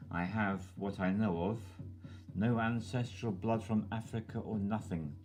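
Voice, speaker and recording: A man's voice: fairly low pitch, mellow. A man hosting his channel, reading a listener's email aloud over music. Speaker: channel host.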